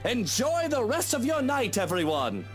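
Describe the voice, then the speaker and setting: A man's voice: extremely high pitch, wildly swooping pitch, a little slow, over music, exaggerated voice. A man voicing a showy, pompous young rich host with a theatrical, grandiose delivery. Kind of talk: game voice acting.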